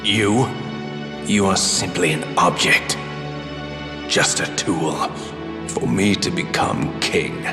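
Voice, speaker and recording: A man's voice: low-pitched, slow pace, pauses often. An actor playing a scheming, power-hungry traitor lord in a fantasy game, cold and contemptuous, speaking in a grandiose, theatrical way as he nears death. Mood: cold contempt. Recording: long hall reverb, loud music behind.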